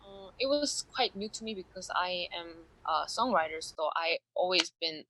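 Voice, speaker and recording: A young woman with a Korean accent, a singer speaking English as a second language in a casual video-call interview, pausing and restarting as she searches for words. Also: on the low side, animated, sharp tone, a little hiss or hum, rough recording. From South Korea. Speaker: singer and songwriter guest.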